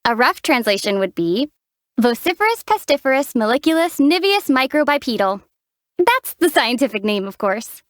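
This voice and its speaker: A young woman voicing a calm, dry-witted heroine in a game, teasing her companion in a deadpan way. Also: sits high, moderate pace, no echo. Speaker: player character, traveler heroine. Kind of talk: game voice acting.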